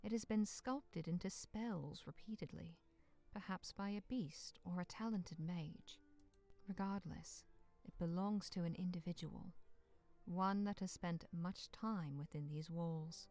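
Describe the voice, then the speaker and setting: Solemn, lower-pitched woman narrating a story. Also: a little slow, darkish tone, faint music behind. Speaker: narrator of a visual novel. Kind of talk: scripted second-person dramatic story narration.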